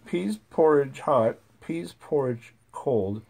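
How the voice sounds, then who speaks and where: Gravelly parent reading nursery rhymes. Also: medium pitch, lively intonation, slow pace, background noise. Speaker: parent reading to a young child. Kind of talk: reading nursery rhymes aloud, chatting with a small child.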